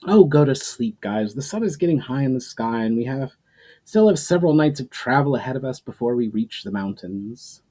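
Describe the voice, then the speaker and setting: A man's voice: medium pitch, lively intonation, normal speed, warm tone, close to an everyday voice. A young hunter read by a man speaks with casual self-assurance in a storybook tale. He sounds dismissive, in an everyday voice a little above the narration. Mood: brushing off.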